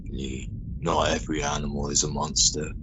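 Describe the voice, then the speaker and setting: A man playing a calm, matter-of-fact submarine pilot in an amateur sci-fi radio play. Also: a bass voice, moderate pace. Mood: calm, firm. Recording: noisy amateur recording.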